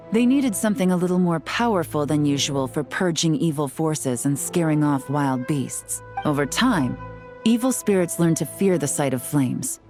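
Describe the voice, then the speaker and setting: A woman playing a composed, knowing character in a video game, speaking in a measured, explanatory way. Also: low voice, darkish tone.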